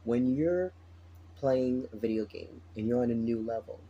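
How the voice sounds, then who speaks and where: Tired raspy-voiced man reading tarot. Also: mid-range voice, normal speed, mellow, dull audio. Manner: chatty, repeats phrases, laughs often. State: tired, morning voice. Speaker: tarot reader. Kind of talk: casual spoken tarot reading.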